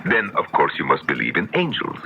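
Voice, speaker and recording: A sinister devil-worshipping writer in a vintage radio drama. His voice is extremely low and gravelly, with formal, deliberate, menacing phrasing. The recording is dull and a little hissy. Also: huge pitch swings, bright, forceful tone, full character voice. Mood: suave, veiled threat. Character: sinister demon-worshipping male author, smug and menacing. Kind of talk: 1970s radio drama acting.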